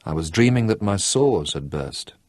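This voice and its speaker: Guy reading tired calm colonel facing execution, slow and quiet, pretty animated delivery. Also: on the low side, no room sound, clean studio sound, natural voice. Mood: resigned. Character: condemned male colonel, weary and calm.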